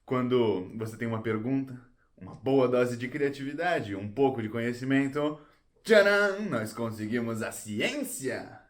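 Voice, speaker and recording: A man's voice: medium pitch, measured pace, close to an everyday voice. A man playing a proud, showy fairy of knowledge in an audio drama, with a pompous storytelling manner. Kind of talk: audio drama stage acting.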